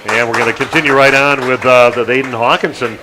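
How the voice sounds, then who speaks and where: A man hosting a local radio sports show, chatting casually with guests, halting with lots of fillers and the odd joke. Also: medium pitch, steady tone, bright, forceful tone, a little hiss or hum, poor audio. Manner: halting, many fillers, joking. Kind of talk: casual interview chat.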